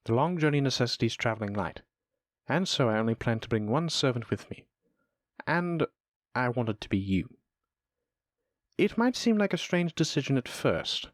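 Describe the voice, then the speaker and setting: Man acting as low-voiced, shrewd lamia noble. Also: big pitch swings, no room sound, clean studio sound, lightly acted. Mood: composed, encouraging. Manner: formal, measured, businesslike. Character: shrewd, self-assured lamia noble master, patient but ruthless. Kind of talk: scripted roleplay audio drama.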